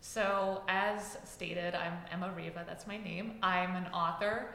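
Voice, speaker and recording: A woman guest on a podcast, an author chatting casually about her work with a loud, talkative delivery. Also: on the low side, steady tone, measured pace, creaky, reverberant. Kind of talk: casual interview chat.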